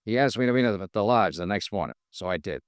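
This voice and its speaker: Guy doing tough american radio detective, 1950s, crisp clipped narration, dry sound, expressive pitch. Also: fast, no echo, natural voice. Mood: grim. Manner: crisp, hard-boiled, matter-of-fact. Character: tough, loyal freelance insurance investigator, middle-aged man. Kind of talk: 1950s radio drama acting with first-person narration. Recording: vintage 1950s radio recording.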